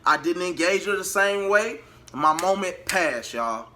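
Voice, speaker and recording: A man's voice: high voice, animated, measured pace, pushed and bright, slight background noise. A man telling a personal story to his viewers in a casual, emphatic way, repeating phrases for emphasis. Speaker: vlogger and R&B singer.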